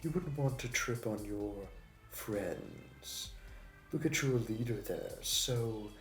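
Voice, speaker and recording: A man's voice: lowish voice, animated, a bit bright. A man playing a suave, smug supervillain in an audio roleplay, with a mocking, flirty, theatrical delivery. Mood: mocking, amused. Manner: theatrical, taunting, drawn-out delivery. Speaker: voice actor. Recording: roomy echo, distant mic, music behind.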